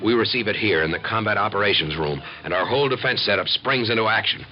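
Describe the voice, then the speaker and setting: A man's voice: on the low side, expressive, fast talker, slight background noise, lightly acted. An American man playing an earnest, assured civil defense expert who explains and narrates in a 1950s radio documentary. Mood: grave, dramatic. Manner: explanatory, folksy, emphatic. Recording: dull old radio recording with music.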